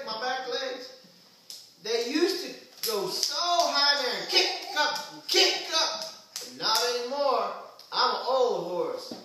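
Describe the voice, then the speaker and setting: A woman's voice: sits high, background noise. Woman doing a bossy old horse puppet, very echoey. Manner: theatrical, scolding, addresses audience as ma'am and sir. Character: bossy, easily annoyed elderly former Olympic horse puppet. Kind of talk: improvised home puppet show. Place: large home living room, hard floor. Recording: echoey room, far from the mic.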